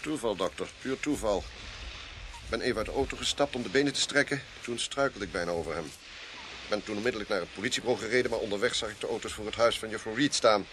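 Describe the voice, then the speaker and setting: A man's voice: lowish voice, quick pace, a bit bright, a little hiss or hum, lightly acted. A Dutch man playing a brusque, authoritative police inspector in a radio drama. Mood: cool, explanatory.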